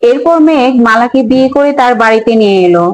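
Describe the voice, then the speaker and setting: Female storyteller for cartoon fairy tale: high voice, scripted narration, faint plucked-string music underneath. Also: fast talker.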